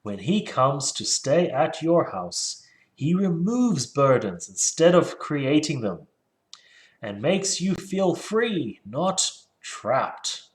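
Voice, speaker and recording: A man's voice: on the high side, sing-song, takes it slowly, poor audio. A man reading a book aloud and critiquing it casually, with sardonic, rambling asides. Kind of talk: casual solo commentary with read-aloud passages.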